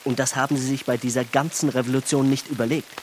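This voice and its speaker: A man's voice: mid-range voice, steady tone, quick pace, a little hiss or hum, lightly acted. Pompous, smug businessman played by an actor. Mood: mocking, lecturing. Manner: pompous, sardonic, lecturing. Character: smug, talkative bourgeois Berlin businessman, conservative and self-satisfied. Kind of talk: radio drama acting.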